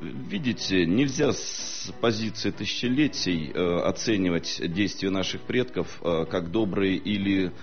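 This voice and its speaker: Low-voiced man lecturing slowly. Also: muffled recording, soft background music. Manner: measured, lecturing, frequent hesitations. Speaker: historian guest. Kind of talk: studio talk-show discussion.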